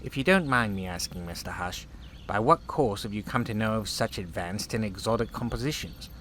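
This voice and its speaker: Man playing a low-voiced, courteous alien chief officer. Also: animated, fairly bright tone, a little hiss or hum. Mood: politely probing. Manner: polite, measured, formal and apologetic. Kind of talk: sci-fi audio drama acting.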